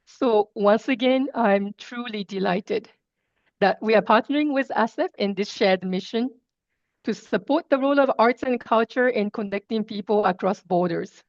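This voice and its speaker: A woman representing an international organization, delivering formal opening remarks in a measured way over a video call. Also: mid-range voice, dry recording. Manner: measured, formal, occasional filler. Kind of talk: read formal speech. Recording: dull, dry video call audio.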